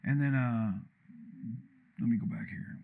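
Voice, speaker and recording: A man live-streaming while he tunes his microphone, talking in a blunt, loud, rambling way with a deep, commanding voice, in an untreated room with a computer fan. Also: steady tone, measured pace, gravelly, phone-quality sound. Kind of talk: casual live-stream rant.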